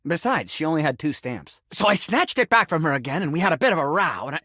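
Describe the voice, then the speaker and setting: A whimsical, melodramatic sink from a video game who insists he is human. The voice sits fairly high and swoops extravagantly between grandiose flourishes and deadpan asides. It is recorded very dry and close. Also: fast talker, no echo, full character voice. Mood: theatrical, rambling. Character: melodramatic, eccentric talking sink who believes he is a man. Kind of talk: theatrical video game character acting.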